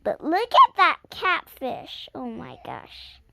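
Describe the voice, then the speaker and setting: A young girl with a high voice reads a story aloud for her viewers. She moves very slowly and haltingly, sounding out and repeating words, and drops in casual asides. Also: huge pitch swings, gravelly, pushed and bright. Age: child around seven or eight.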